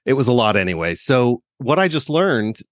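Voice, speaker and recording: A man's voice: medium pitch, big pitch swings, moderate pace, dry recording. Casual male podcast co-host, dry close sound.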